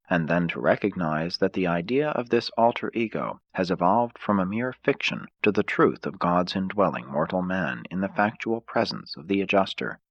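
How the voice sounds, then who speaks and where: A man with a low, husky voice narrates an audiobook in formal, measured phrases. His pitch moves in lively swings across sentences. The sound is dry and somewhat muffled. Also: no room sound.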